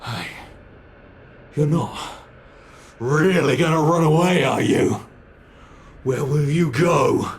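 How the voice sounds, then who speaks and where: A man's voice: fairly high pitch, slow, pauses often. A man with a British accent playing a predatory male monster from under the bed in an ASMR horror roleplay, taunting his prey with playful menace. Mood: taunting chase.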